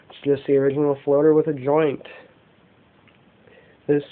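A man giving an unscripted show-and-tell as an amateur vlogger, talking in halting, short phrases on a camera microphone indoors. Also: mid-range voice. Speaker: amateur fishing vlogger showing lures. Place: indoors, carpeted room at home. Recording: dull, muffled lo-fi home video.

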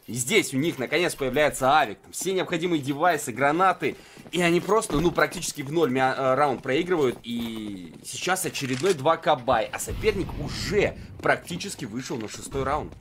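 A man's voice: mid-range voice, expressive, moderate pace, a bit bright, a little hiss or hum. A man giving live, conversational esports commentary in colloquial gamer slang. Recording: game sound behind the voice.

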